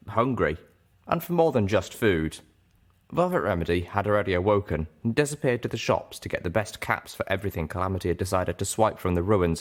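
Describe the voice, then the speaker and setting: A man narrating an audiobook as an earnest, self-doubting young mare, in a measured, deliberate way. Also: low voice. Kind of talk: scripted first-person audiobook narration.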